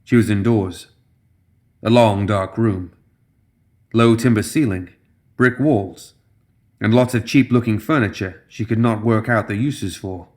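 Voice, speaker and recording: A man's voice: fairly low pitch, a little slow, long pauses. A man reading scripted fantasy fiction as an audiobook narrator.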